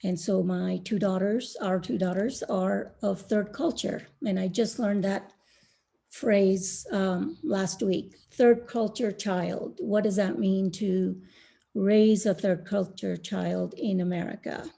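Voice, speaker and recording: A middle-aged woman from India speaking as a panelist on an online call, slow and thoughtful with many pauses and fillers. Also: mid-range voice, fairly even intonation, gravelly, warm tone. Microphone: video call.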